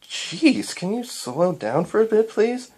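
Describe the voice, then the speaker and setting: A performer voicing a friendly, confident young female pony princess with a gentle, coaxing manner for a story. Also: mid-range voice, big pitch swings, slow pace, voice pushed up. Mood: reassuring.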